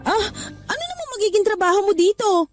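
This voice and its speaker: A woman's voice: extremely high pitch, big pitch swings, brisk, soft background music. An actress playing a practical young woman in a Tagalog radio drama, teasing her partner and then scolding him for quitting his job. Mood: worried. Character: young woman working abroad, practical, a little irritated.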